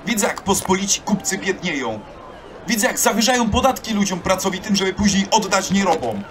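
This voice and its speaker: A man's voice: sits high, lively intonation, fairly quick, a bit bright, a little hiss or hum. An actor playing a bitter, argumentative young townsman in an audio drama. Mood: indignant. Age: young adult.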